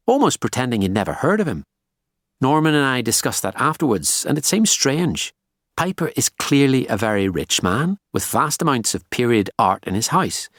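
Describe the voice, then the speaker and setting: A man's voice: medium pitch, no echo, studio quality, voice pushed up. A man voicing a female detective constable with a Belfast accent in an audiobook, earnest and frank.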